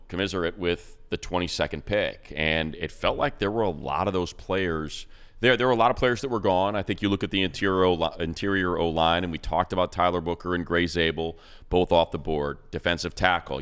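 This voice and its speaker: Deep-voiced man talking football. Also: fairly bright tone, clean tone. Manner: conversational, rambling analysis with fillers. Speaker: radio play-by-play announcer. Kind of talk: casual sports talk.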